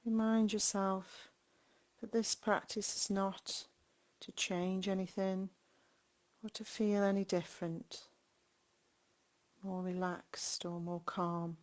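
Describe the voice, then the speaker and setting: A woman guiding a meditation in a gentle, unhurried manner, speaking in short phrases with long pauses between them. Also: mid-range voice, flat delivery. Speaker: meditation guide. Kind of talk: guided body scan meditation.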